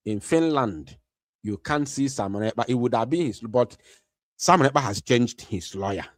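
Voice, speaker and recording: A man with a Nigerian English accent holds forth to his online audience, hammering his points by saying them again and again. He often stalls on drawn-out fillers. His voice sits at a middle pitch and sounds dry and close, with no room around it. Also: dry recording. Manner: emphatic, repeats phrases, frequent filler hesitations. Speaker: online channel host. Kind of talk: commentary monologue to viewers.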